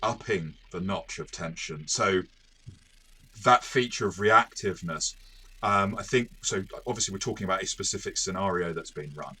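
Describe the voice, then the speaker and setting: A man's voice: deep voice, moderate pace, sharp tone, husky. A man co-hosting a casual, analytical game review, explaining things in a hesitant way and often rephrasing mid-sentence.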